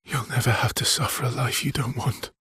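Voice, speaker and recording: An actor playing a weary, gentle, guilt-ridden expedition guide in a dramatic video game, with a husky, breathy, hushed and halting delivery. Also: male voice, mid-range voice, fairly even intonation, no room sound, studio quality. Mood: tired, tender. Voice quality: husky, breathy, often near a whisper.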